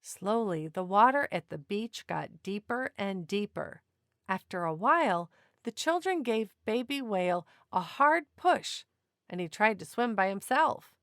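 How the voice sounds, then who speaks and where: Expressive woman reading a children's story, very lively pitch. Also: measured pace, no room sound, clean studio sound. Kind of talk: children's picture book read-aloud narration.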